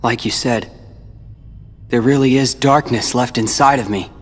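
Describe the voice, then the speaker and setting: A man playing a brooding young fighter who talks quietly about the darkness inside him in a game cutscene. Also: mid-range voice, fairly even intonation, measured pace, long reverb tail, over music, natural voice. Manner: quiet and brooding, turning defiant. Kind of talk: video game cutscene dialogue.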